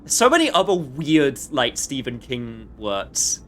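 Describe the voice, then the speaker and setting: A man's voice: mid-range voice, big pitch swings, slow pace, a bit bright, slight background noise. A man livestreaming a video game with casual commentary, chatting to viewers in a rambling way with jokey asides.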